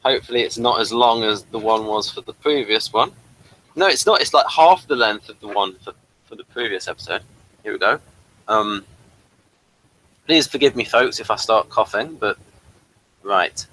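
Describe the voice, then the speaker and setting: A man's voice: lowish voice, normal speed, long pauses, sharp tone, poor audio. A man hosting a casual review chat, rambling with self-conscious little asides. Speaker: podcast host reading an episode summary and discussing it.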